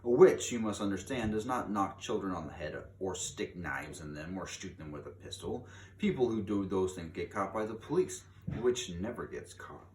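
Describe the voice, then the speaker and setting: Male storyteller for children's read-aloud, lowish voice, big swings in pitch, theatrical emphasis; recorded at a distance from the mic. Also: a little mellow. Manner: theatrical, emphatic, drawn-out key words. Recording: distant mic, echoey room, background noise.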